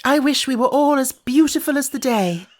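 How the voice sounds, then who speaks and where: A woman's voice: sits high, animated, moderate pace, darkish tone, pitched above the performer's own voice. A woman voicing eager, curious Edwardian children in a children's audiobook, pleading and inquisitive. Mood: hurried wish. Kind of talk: children's audiobook character voices.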